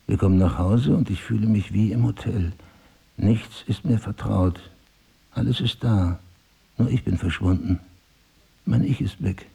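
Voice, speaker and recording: A husky, lost amnesiac husband. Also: male voice, lowish voice, a little slow, long pauses, warm tone, heavily acted. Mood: anxious, lost. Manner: monologue-like narrating, brooding, questioning. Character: amnesiac crime writer husband, confused, searching, mistrustful. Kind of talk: intimate radio drama acting.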